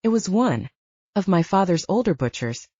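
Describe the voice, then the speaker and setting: A woman's voice: fairly low pitch, sing-song, normal speed, warm tone, slightly rough, no room sound, highs cut off. A woman reading a scripted first-person story as an audiobook narrator.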